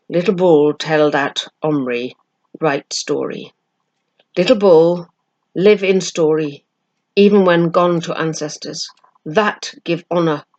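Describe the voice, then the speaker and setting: Woman voicing a slow, proud warrior chief. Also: sits low, muffled recording, heavily acted. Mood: solemn, proud. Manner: clipped broken English, slow and emphatic.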